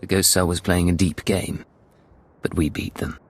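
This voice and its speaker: Deep-voiced, cool secret agent. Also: male voice, little pitch movement, normal speed, slightly rough. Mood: firm. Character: cool, hard male imperial secret agent. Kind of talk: video game drama acting.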